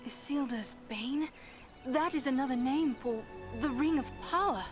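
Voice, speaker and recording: A noble elf warrior-mage in a fantasy game. The voice is fairly high, poised and formal, quietly resolute, with music clearly underneath. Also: female voice, a little slow. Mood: composed, curious. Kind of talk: dramatic fantasy game acting.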